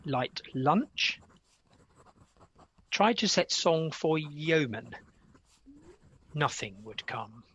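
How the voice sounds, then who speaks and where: A man's voice: a little high, animated, very slow, pauses often, a bit bright, lo-fi sound, heavily acted. A British man acting an exasperated, serious Victorian composer in a radio play, speaking earnestly and formally. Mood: suffering, weary. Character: weary, exasperated male Victorian composer, serious and self-pitying.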